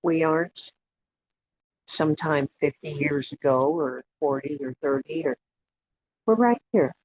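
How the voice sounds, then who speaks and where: A guided imagery facilitator leading a relaxation meditation in a soothing, unhurried way, leaving long pauses between short phrases. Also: mid-range voice, a little mellow. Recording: dull lo-fi audio with clear background noise and clicks.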